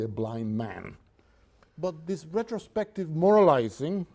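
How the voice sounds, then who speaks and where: A man lectures without a script in a mellow, relaxed tone pitched a little high. He explains in an academic way, halting as he finds his phrasing.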